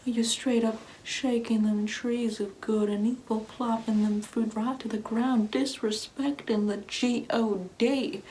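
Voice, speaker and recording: A woman playing a sanctimonious, Bible-quoting Karen mother in an ASMR comedy roleplay, condescending and preachy, often dropping into a breathy whisper. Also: medium pitch, a little mellow, slight background noise, close to an everyday voice, rough recording.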